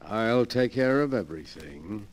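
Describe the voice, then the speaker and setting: An actor playing a coolly manipulative master of the house in a 1940s radio drama, condescending and smooth-talking. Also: male voice, fairly low pitch, lively intonation, unhurried, slight background noise. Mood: soothing, controlling.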